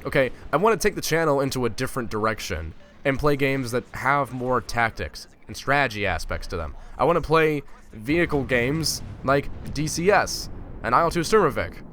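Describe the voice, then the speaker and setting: Young man, from Finland, bright forceful voice, exasperated sarcastic rant, casual vlog talk. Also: medium pitch, lively intonation, normal speed, faint music behind. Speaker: gaming YouTuber.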